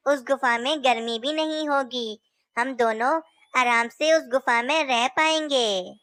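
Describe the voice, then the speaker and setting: Played by a woman, this cartoon owl is a scheming male brother with a high, unhurried voice. It sounds smooth and clean, recorded close with no room sound. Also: a bit bright, no room sound. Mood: worried. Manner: sly, then apologetic. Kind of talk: Hindi cartoon voice acting.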